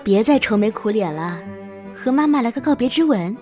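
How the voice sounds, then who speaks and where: A woman playing a cheerful young mother who speaks sweetly and coaxingly in an animated drama. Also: a little high, fairly quick, natural voice. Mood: affectionate, playful. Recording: loud music under the voice.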